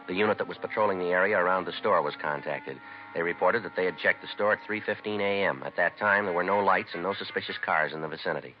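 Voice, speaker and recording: Male, American, to play a stoic radio-drama cop. Low, rapid, flat and clipped, on dull vintage broadcast sound. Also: natural voice. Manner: deadpan, clipped, matter-of-fact. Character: stoic, businesslike male police sergeant. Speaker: lead actor and narrator of a police radio series. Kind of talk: 1950s radio acting, terse scripted narration. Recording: muffled old radio broadcast recording.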